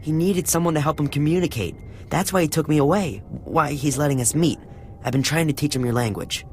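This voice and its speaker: A woman's voice: deep voice, fairly quick, slight background noise. A woman playing a frightened captive interpreter in a video game, hesitant, earnest and pleading. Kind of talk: dramatic video game acting.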